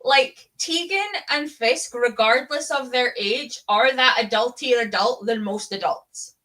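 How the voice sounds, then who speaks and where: A woman's voice: fairly high pitch, measured pace, pushed and bright. A woman with a Scottish accent chatting casually and fannishly about books as host of a live readalong, with the odd swear word. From Scotland. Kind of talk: casual book chat.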